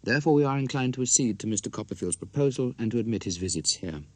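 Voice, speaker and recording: A man's voice: medium pitch, lively intonation, darkish tone, no room sound, lightly acted. A man voicing a prim little old maiden aunt. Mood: prim, cautious. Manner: precise, measured, stately diction.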